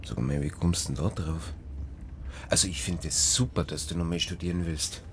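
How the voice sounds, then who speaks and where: An actor playing an easygoing, phlegmatic country policeman with a hoarse voice and a Bavarian accent. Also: deep voice, normal speed, slight background noise. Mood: low-key, sincere. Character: phlegmatic, loyal small-town Bavarian policeman, uneasy. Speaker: rural police officer.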